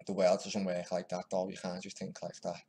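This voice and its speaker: A man's voice: low voice, monotone, fairly quick, slight background noise. Muttering man, mocking commentary. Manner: mocking, muttering to himself, trails off quietly. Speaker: video maker commenting on news clips. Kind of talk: casual running commentary while editing.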